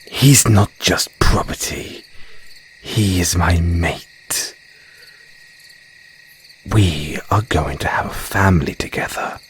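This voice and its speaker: A man's voice: sits low, slow, long pauses, slight background noise. A man playing an obsessively loving, menacing male werewolf in an audio roleplay, with intense, dramatic delivery. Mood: possessive, defensive.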